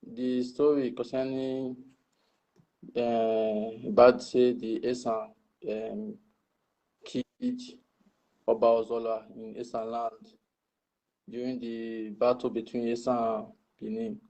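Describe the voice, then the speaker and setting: A man with a Nigerian accent joining a live stream by video call to ask a question, halting and full of fillers. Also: mid-range voice, dark tone, rough recording.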